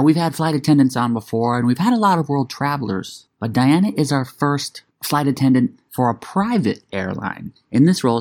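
A man's voice: mid-range voice, a little mellow, dry recording, studio quality. A man hosting a travel podcast, reading an upbeat intro and then interviewing his guest. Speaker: podcast host.

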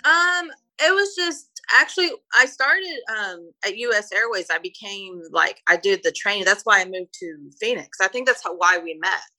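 A woman's voice: mid-range voice, lively intonation, moderate pace, few pauses, bright, forceful tone, soft background music, rough recording. A Brazilian woman whose first language is Portuguese, a private flight attendant telling stories in a casual interview, hesitant with many fillers and restarts.

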